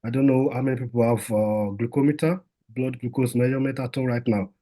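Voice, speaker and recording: A man's voice: medium pitch, steady tone, fast, warm tone. A man, a doctor, giving an informal health talk in a conversational way, with lots of fillers and restarts.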